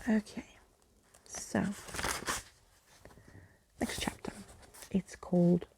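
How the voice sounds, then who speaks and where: A woman narrating a story in ASMR style, soft and breathy, often slipping into a whisper, close to the microphone. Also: medium pitch, steady tone, extremely slow.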